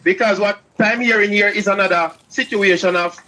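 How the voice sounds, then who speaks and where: A man's voice: sits high, fairly even intonation, fairly quick, pushed and bright, rough recording. A man, a guest on a live stream, speaking in an urgent, cautionary way. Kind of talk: casual live-stream chat.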